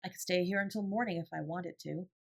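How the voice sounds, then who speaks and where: A woman's voice: lowish voice, fast, vocal fry. A woman voicing a naive, easily dejected schoolgirl in a storybook, simple and rueful in manner. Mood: confiding. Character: plump, dejected schoolgirl, slow learner, naive and admiring.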